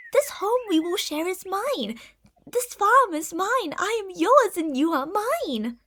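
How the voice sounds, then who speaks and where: A woman's voice: extremely high pitch, heavily acted. A woman voicing a possessive, delusional lamia monster in an ASMR roleplay, speaking in a haughty, theatrical, sweetly gaslighting way. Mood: possessive, stern.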